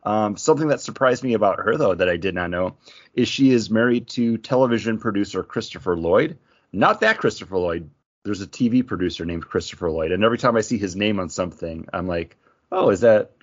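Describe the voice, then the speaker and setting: A man's voice: lowish voice, animated, brisk, muffled recording. A man co-hosting a podcast in casual, joking chat. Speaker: comics podcast co-host.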